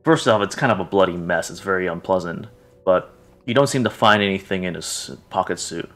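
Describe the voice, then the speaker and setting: Lowish-voiced man running a game. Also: brisk, a bit bright, faint music behind. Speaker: game master. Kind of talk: narrating a tabletop game.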